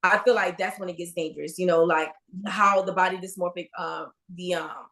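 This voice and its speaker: A woman's voice: fairly low pitch, fairly even intonation, a little fast, a bit bright. A woman in her forties co-hosting a podcast, talking candidly and casually with many fillers and restarts. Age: 40s. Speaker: podcast co-host, registered nurse. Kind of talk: casual chat.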